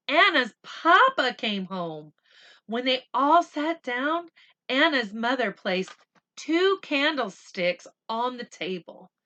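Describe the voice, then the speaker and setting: A woman's voice: fairly high pitch, muffled recording. Woman reading aloud expressively to children. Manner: expressive, slow and deliberate when reading, chatty when explaining; explains words simply, as if to children. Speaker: school reading teacher reading a picture book aloud for children. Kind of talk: read-aloud storytelling with casual explanatory asides.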